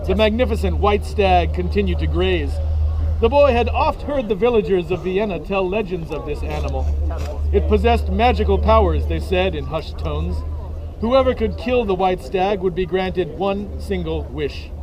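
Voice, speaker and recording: A man narrating a comic story in a deadpan storybook manner, recorded outdoors at an event. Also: high-pitched, normal speed, crowd noise, low-quality recording. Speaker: reader. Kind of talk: comic dramatic reading aloud.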